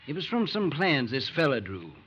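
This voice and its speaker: A folksy country farmer with a highish, animated voice and hesitant, homespun phrasing. He is an anxious, plain-spoken father in an old-time radio drama, on a dull, muffled recording. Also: moderate pace, slight background noise. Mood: hesitant, earnest.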